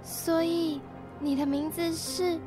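Woman as little girl heroine, very high voice, earnest, determined, audio drama, music behind. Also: level tone, slow pace. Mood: tender, recollecting.

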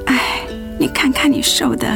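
A woman's voice: moderate pace, lightly acted. An actress playing a doting, fussy older mother and grandmother in a radio drama. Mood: fussing, caring. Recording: music behind the voice.

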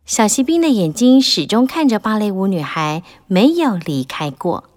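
A woman narrating a children's audiobook in a gentle, expressive storytelling manner. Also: medium pitch, normal speed.

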